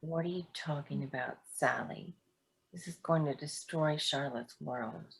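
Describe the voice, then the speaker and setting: A protective, indignant woman , played by an actress in a staged reading. Her voice is husky and on the low side, with a slow delivery. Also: heavily acted, rough recording.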